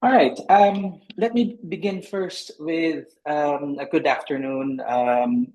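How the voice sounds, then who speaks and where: A man from the Philippines, a lecturer giving a formal talk on a video call, halting with many ums. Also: on the high side, darkish tone. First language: Filipino language. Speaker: lecturer and panel speaker. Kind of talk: formal presentation. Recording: echoey room on a video call.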